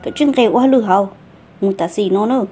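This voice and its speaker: A woman's voice: mid-range voice, fast, mellow, slight background noise, natural voice. A woman playing a female character in a folk-tale cartoon about a family. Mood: conversational.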